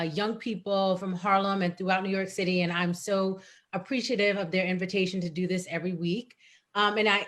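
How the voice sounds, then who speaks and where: A woman's voice: mid-range voice, a bit bright. A woman moderating an online candidate forum, friendly and chatty, restarting phrases with many ums, on a video call. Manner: friendly, filler-heavy, repeats herself.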